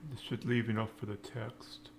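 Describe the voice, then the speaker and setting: A man giving a casual coding screencast, thinking aloud in halting, unscripted phrases. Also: on the low side, flat delivery, slight background noise. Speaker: programming tutorial presenter.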